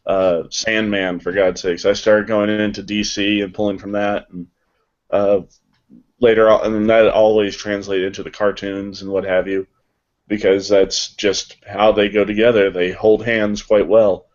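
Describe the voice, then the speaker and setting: Male panel guest, lowish voice, dry self-deprecating humor, dull low-fidelity recording. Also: flat delivery, unhurried. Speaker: voice actor on a panel. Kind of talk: casual unscripted panel chat.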